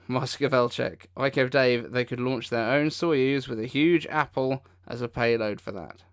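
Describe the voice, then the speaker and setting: A man's voice: mid-range voice, a little slow, smooth. A man hosting a casual livestream, reacting in an informal, incredulous way as he comments. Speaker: livestream host.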